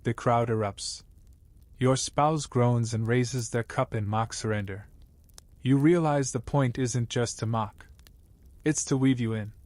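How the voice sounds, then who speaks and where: A man narrates a scripted bedtime story in a medium-pitched voice. His delivery is measured and wry, like a storyteller addressing one listener. The sound is dry, with no room echo. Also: a little mellow.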